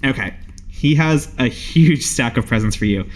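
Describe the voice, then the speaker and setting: A man's voice: mid-range voice, animated, normal speed. A man acts as game master for a tabletop roleplaying game. His voice sits in the middle range, and he describes things in a casual, improvised way.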